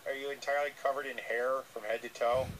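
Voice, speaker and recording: A man's voice: medium pitch, flat delivery, fast talker, natural voice. A man voicing a nervous, earnest, dim-witted llama who hesitates and repeats himself on a comic radio call. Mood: apologetic, rambling. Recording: noisy re-recording of radio playback.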